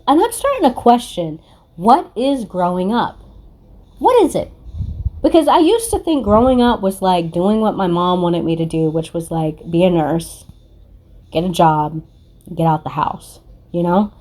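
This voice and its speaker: Blunt, low-voiced woman card reader. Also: mellow. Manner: blunt, confrontational, swearing freely. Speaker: tarot card reader and spiritual content creator. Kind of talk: casual spiritual card reading.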